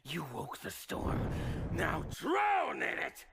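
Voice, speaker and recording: A monstrous villain who commands storms. The delivery is wrathful and intense, with threats that swing widely in pitch, in demo-reel style. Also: huge pitch swings, takes it slowly, vocal fry, a bit bright, raised voice, slight background noise, exaggerated voice.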